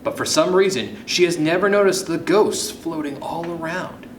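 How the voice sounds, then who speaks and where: A man reading a children's story aloud as host, with suspenseful pauses and hushed drops in his delivery. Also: fairly high pitch, expressive. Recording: roomy echo, faint background noise.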